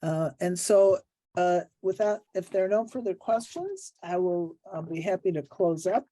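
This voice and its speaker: A woman moderator closes an online meeting by reading announcements from a script. Her voice is mellow and dark. The sound is dry and close, and her delivery is measured and formal. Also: fairly low pitch, expressive, dry recording. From Illinois, US. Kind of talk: reading closing remarks from a script.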